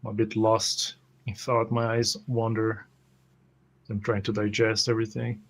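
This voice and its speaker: A man's voice: lowish voice, monotone, a little slow. A man playing a tabletop roleplaying game, speaking for his character in the first person.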